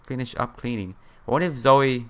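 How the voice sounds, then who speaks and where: A man's voice: medium pitch, normal speed, smooth. A man reading a visual novel aloud in a theatrical way, breaking off into casual asides and exclamations. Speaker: let's player.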